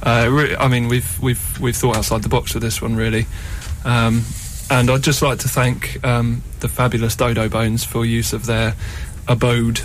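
Male musician guest, very even intonation, informal studio talk, faint noise behind. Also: medium pitch, moderate pace, hardly any pauses. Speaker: band member, interview guest. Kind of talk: casual interview chat. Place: radio studio.

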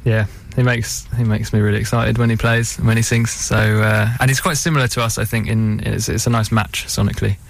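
Low, gravelly man chatting as guest. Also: level tone, brisk, hardly any pauses. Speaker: band musician, radio guest. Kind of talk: casual interview chat. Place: radio studio.

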